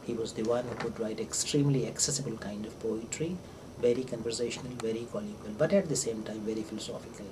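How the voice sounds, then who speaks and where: An older man with an Indian accent and Urdu as his first language, giving an informal literary lecture in deliberate phrases with hesitations and repetitions. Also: lowish voice. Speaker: English professor, visiting scholar. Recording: distant microphone with clear background noise.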